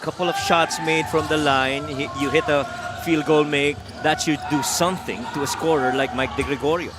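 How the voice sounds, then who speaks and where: A man's voice: a little high, steady tone, normal speed, heavy background noise. A man with a Filipino accent giving explanatory live basketball analysis, moving between English and Tagalog. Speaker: color commentator, game analyst.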